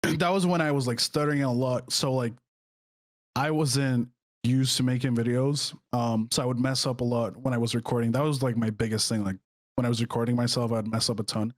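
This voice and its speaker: A man's voice: mid-range voice, fairly even intonation, brisk, no room sound, studio quality. Young Czech-born man, hesitant chat. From Czech Republic. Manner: hesitant, many fillers and restarts. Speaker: guest streamer. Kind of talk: casual podcast chat.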